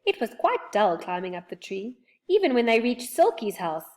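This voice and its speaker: A woman reading a children's book aloud, gentle and expressive. Her voice sits somewhat high and moves through a wide, lively range. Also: normal speed. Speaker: storyteller.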